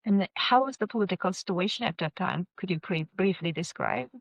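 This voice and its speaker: Halting low-voiced woman interviewer. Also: lively intonation, vocal fry, no echo, muffled recording. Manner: halting, repeats and restarts words. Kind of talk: interview questions.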